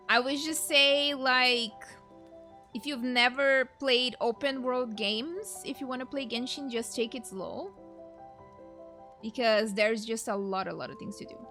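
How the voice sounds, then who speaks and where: A woman's voice: sits high, bright, forceful tone. A young adult woman giving casual, rambling let's play commentary as a game streamer. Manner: informal, rambling, frequent "like".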